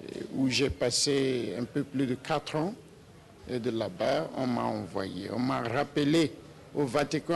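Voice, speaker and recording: Slow, gravelly man from Nigeria. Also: mid-range voice, slight background noise. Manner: slow and hesitant with many "euh" fillers and restarts. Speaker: papal nuncio. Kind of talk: slow, halting interview answers.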